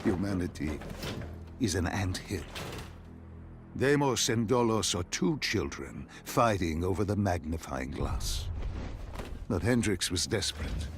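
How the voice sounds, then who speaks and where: Deep-voiced, grave all-knowing interrogator. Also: male voice, animated, measured pace, noisy background. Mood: solemn, knowing. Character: grave all-knowing male interrogator lecturing on dark gods. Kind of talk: video game cutscene dialogue.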